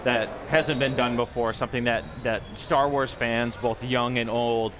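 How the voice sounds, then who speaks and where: A man's voice: medium pitch, steady tone, a little slow, crowd noise. A man in his thirties demoing a toy as a company guest at a convention booth, eager, often repeating words as he goes, on a lapel mic. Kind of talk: product demo interview. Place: booth at a busy convention hall.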